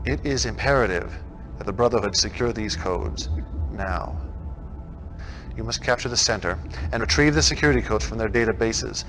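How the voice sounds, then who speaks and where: A man playing a commanding, calculating cult-like military leader in a video game briefing, speaking in a measured, solemn, imperious way. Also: lowish voice, close to an everyday voice. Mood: solemn resolve. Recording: background music.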